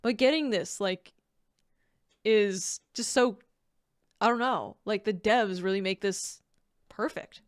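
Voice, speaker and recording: A woman streamer giving casual, gushing reaction commentary, expressive and swearing freely. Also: mid-range voice, slow pace, long pauses, no echo, studio quality.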